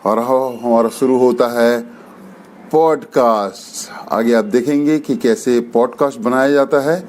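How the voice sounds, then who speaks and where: A man's voice: mid-range voice, darkish tone. Man racing through a promo intro. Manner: rushed, emphatic announcing. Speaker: podcast presenter.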